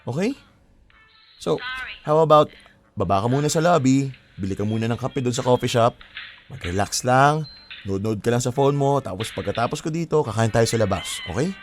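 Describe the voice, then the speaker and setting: A man's voice: mid-range voice, expressive, normal speed, soft background music, close to an everyday voice. A man playing an exasperated boyfriend in a Filipino audio drama, conversational and switching between Tagalog and English. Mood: exasperated pleading. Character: exasperated boyfriend working from home, pleading for peace. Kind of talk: Filipino radio-style drama acting.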